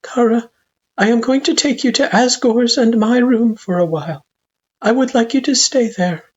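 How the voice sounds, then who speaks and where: Woman voicing a high, tender monster mother. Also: moderate pace, a little mellow, dull audio, lightly acted. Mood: gentle, careful. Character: tender, anxious clawed monster mother, formal-spoken.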